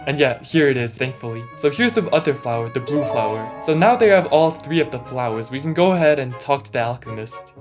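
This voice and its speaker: A man's voice: medium pitch, expressive, brisk, few pauses. A man speaking through a voice changer, giving casual, slangy gameplay commentary with a mildly complaining manner. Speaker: gaming YouTuber. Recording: music mixed under the voice. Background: background music.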